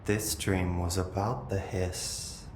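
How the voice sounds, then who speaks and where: A possessed brother in a video game, eerily calm and faintly menacing. His voice is rather low and he speaks slowly, with detached, lingering pauses. The space around him is echoey. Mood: eerily serene. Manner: slow, detached, deliberate storytelling with lingering pauses. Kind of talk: dramatic video game acting. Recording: echoey room, noticeable low rumbling noise.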